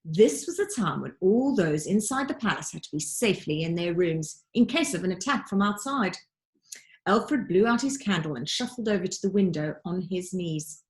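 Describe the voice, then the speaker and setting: A woman narrating a children's storybook like a teacher reading aloud, with dramatic, deliberate emphasis. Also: on the low side, expressive. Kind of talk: expressive children's audiobook narration.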